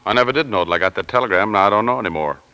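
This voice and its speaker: Downcast young clerk, American, lowish bright voice, hesitant, 1940s radio play. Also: male voice, rapid-fire, lo-fi sound. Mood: self-doubting. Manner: hesitant, self-doubting. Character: unsure, modest young office clerk, downcast and decent.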